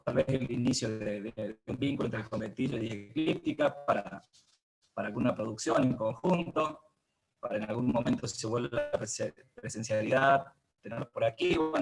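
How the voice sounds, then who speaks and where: An Argentine man with a mid-pitched voice moderates an online talk. He speaks informally and haltingly, often pausing to search for his next word. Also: gravelly, poor audio. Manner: hesitant, halting, searching for words. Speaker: host of an online theatre talk. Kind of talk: informal spoken closing remarks and moderation.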